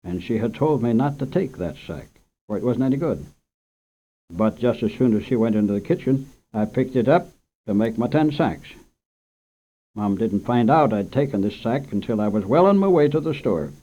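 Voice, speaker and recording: A man's voice: medium pitch, animated, moderate pace, mellow. Man reading a story on old radio. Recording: dull lo-fi old radio recording.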